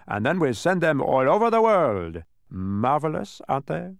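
A man's voice: a little high, big pitch swings, normal speed, gravelly, dry recording, clean studio sound, voice pushed up. A man voicing a proud, instructive talking geometric creature who serves as a guide in a storybook. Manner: instructive, emphatic.

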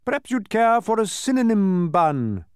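Excitable storybook courtier performed by a man, high-pitched, emphatic, fussy and scolding. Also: moderate pace, clear, resonant tone, no echo, studio quality. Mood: fussy, urging. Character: fussy, excitable bickering nobleman at royal banquet.

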